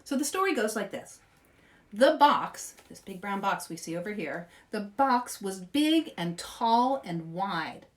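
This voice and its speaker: A woman hosting story-time for children, reading aloud in a playful, expressive way and chatting with young viewers. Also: medium pitch, a little slow, recorded from a distance. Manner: playful, expressive, asks listeners questions.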